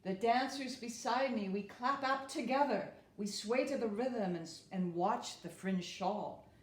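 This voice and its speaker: Woman reading a kids picture book, slow n expressive, highish voice, roomy echo. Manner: deliberate, expressive phrasing. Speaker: storyteller. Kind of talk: expressive children's storybook reading. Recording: roomy, echoing room.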